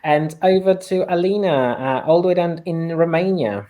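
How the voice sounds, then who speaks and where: A man's voice: on the high side, normal speed, mellow. A man hosting a podcast panel over a video call, upbeat and a little stumbling. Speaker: podcast host.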